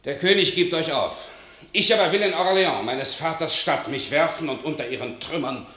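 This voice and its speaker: Indignant knight for a radio play, bright forceful declaiming, roomy studio. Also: male voice, on the high side, lively intonation, normal speed. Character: proud, angry noble knight. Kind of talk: classic radio play acting.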